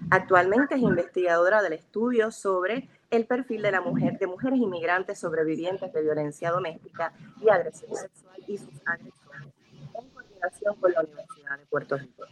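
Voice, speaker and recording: A woman host with a lowish voice reads out her guests' biographies. She keeps a measured, formal reading pace. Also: a little hiss or hum, poor audio.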